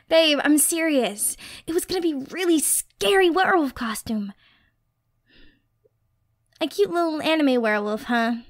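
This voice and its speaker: A woman's voice: high-pitched, lively intonation, slow pace, long pauses, no room sound, clean studio sound, full character voice. A woman playing a dorky, affectionate young girlfriend in a casual ASMR roleplay, chatty and teasing as she rambles. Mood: eager, playful. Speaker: roleplay voice actor.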